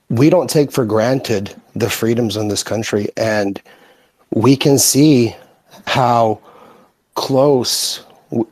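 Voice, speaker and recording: A man with an American accent giving earnest opinions in a casual, halting way in an online group chat. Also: medium pitch, darkish tone, no room sound. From born in Russia, raised in the United States from age five. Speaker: guest speaker in online group audio chat.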